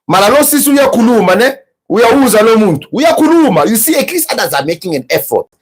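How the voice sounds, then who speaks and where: A man's voice: very high-pitched, big pitch swings, quick pace, slightly rough. A man hosting a podcast, giving heated, mocking reaction commentary. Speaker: podcast host.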